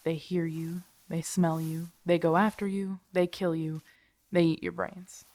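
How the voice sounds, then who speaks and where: Woman acting grumpy survivor woman, mellow low voice. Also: level tone, a little slow. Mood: emphatic, cynical. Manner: sardonic, blunt, conversational. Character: grumpy, protective, tsundere young woman surviving a zombie apocalypse. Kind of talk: intimate listener-directed audio roleplay acting.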